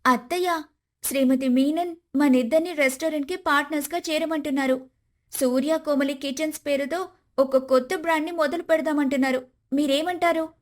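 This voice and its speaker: A woman's voice: high-pitched, steady tone, fairly quick, close to an everyday voice. A woman playing a respectful, devoted young daughter-in-law in a moral story, speaking in a heartfelt way. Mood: eager. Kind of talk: dramatised moral story dialogue.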